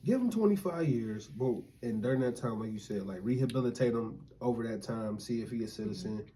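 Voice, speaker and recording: A man chatting casually with his wife, laying out his view in a relaxed, reasoning way. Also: mid-range voice, fairly quick, a little hiss or hum. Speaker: co-host, husband. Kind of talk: casual chat.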